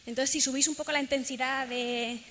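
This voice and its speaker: Woman, workshop leader, bright tense tone, fairly high voice, reverberant hall, faint ambience. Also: fairly even intonation, fairly quick, a little hiss or hum. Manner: instructive, guiding a group. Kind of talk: leading a sound workshop. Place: large hall.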